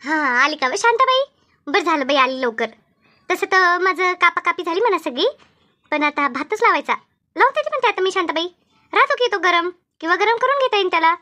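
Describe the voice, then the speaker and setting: Harried cartoon village hostess woman actor: piercingly high, rapid, repetitive, fussy. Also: expressive, sharp tone, exaggerated voice. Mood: busy. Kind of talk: animated cartoon comedy dialogue.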